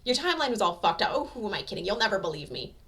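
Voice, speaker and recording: A woman's voice: mid-range voice, big pitch swings, racing, a bit bright. Woman acting out a brash, foul-mouthed young hero. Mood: snappy, irritated. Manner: gruff, bossy, profane bluntness.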